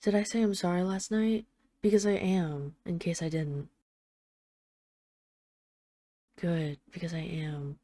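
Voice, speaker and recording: Actress as female mafia boss in hiding, lovesick and apologetic. Lowish, soft-edged dark tone, earnest halting delivery, intimate audio roleplay. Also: fairly even intonation, no room sound, clean studio sound, lightly acted. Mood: contrite. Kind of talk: intimate audio roleplay, one side of a phone call.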